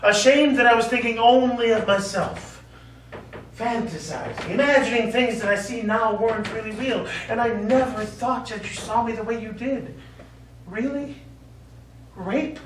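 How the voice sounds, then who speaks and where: An actor on stage playing a middle-aged American war veteran, remorseful and lovelorn, speaking in a halting, earnest, pleading way. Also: male voice, extremely high pitch. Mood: ashamed. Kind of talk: stage play acting. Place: small theatre stage. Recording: echoey theatre stage, distant mic, faint noise.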